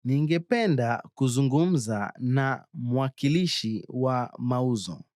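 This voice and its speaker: A man demonstrating scripted phrases for a language drill, with careful, deliberate enunciation. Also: medium pitch, expressive, a little mellow, no room sound, studio quality. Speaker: native-speaker phrase demonstrator.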